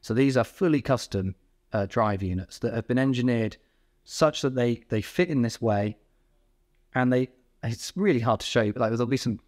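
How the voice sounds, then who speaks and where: A man in his thirties explains technical details as an expert would, informally and a little haltingly. His voice is mid-pitched, and he often rephrases himself along the way. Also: animated, darkish tone. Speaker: technical director explaining a product. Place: indoor company workroom.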